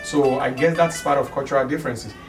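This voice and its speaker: A middle-aged man from Nigeria with a Nigerian accent, speaking haltingly in a documentary interview. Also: medium pitch, fairly even intonation, far from the mic. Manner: halting, many restarts and fillers. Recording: roomy, off-mic with music underneath.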